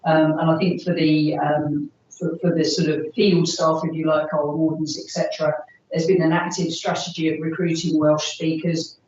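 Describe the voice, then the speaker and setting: A woman's voice: sits low, flat delivery, normal speed, a little mellow, slight background noise. A woman answering questions at a formal meeting as a park authority officer, hesitant and full of fillers. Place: meeting room. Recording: roomy, distant, dull recording.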